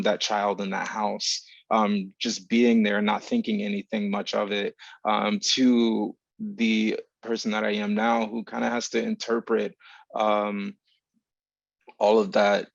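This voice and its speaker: A man with a lowish voice and noticeable fry speaks thoughtfully and haltingly, like a writer reflecting on a panel. His delivery is fairly even, and the sound is dry and somewhat dull, as on lo-fi call audio. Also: no echo. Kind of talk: reflective, informal panel answer.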